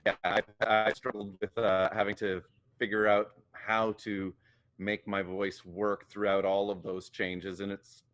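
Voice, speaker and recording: Singer and vocal coach, low male voice, big and booming, quick to laugh. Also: flat delivery, fairly bright tone. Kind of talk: casual interview answers.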